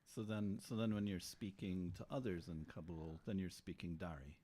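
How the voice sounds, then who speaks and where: Middle aged guy hosting a radio chat, lowish mellow voice, stops and restarts his questions. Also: fairly even intonation, normal speed. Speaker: radio host. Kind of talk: interview questions. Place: radio studio. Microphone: boom mic with headphones.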